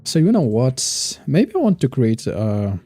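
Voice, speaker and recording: Dark-voiced man streaming a game. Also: medium pitch, very animated, no room sound, faint music behind. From Morocco. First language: Moroccan Arabic. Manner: thinks aloud, chats with viewers, halting non-native phrasing. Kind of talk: casual live-stream gameplay commentary.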